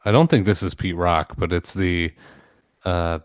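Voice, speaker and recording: A man with a low, deep speaking voice, chatting loosely and laughing at his own stories. He pokes fun at himself with animated energy. The sound is dry with no room around it. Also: measured pace, no room sound. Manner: laughing, self-deprecating, animated storytelling. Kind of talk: casual chat.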